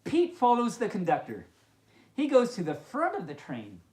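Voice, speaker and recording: A woman's voice: on the high side, huge pitch swings, normal speed, a little mellow. A woman hosting a children's story time as a friendly pup, reading expressively and adding playful, amused asides. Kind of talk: expressive storybook read-aloud with chatty asides. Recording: roomy, echoing indoor sound.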